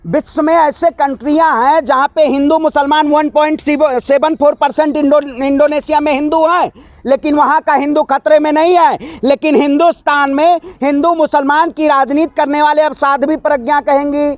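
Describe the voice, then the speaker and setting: A man speaks in a very high voice, recorded dry. He is combative and emphatic, delivering a heated political rant as an interviewee and repeatedly challenging the listener with rhetorical questions. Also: little pitch movement, normal speed, no room sound.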